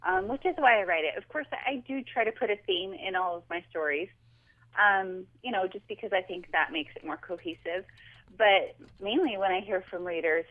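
A woman talking informally and chattily as an author guest in a casual interview, heard over a telephone. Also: lowish voice. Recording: tinny, narrow telephone line.

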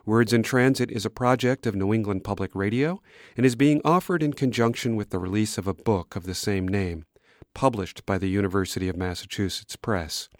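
A man hosting a public radio program, reading a scripted introduction in a measured, announcer-like way. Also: lowish voice, dry recording, clean studio sound. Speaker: radio executive producer and host.

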